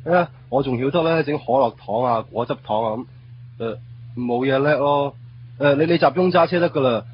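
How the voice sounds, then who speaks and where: An actor playing a bumbling, timid, food-loving buddy in a Cantonese radio drama, with a hesitant, stammering, rambling manner and a Hong Kong accent. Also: male voice, medium pitch, moderate pace, close to an everyday voice. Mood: awkward, sheepish. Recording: dull lo-fi radio drama, noisy ambience.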